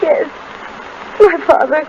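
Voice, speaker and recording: An actress playing a distraught, fragile young daughter, halting and pleading, in 1930s radio melodrama style. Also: female voice, sits high, a little mellow, some chatter behind. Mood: distraught, reluctant. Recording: muffled, noisy 1930s radio recording.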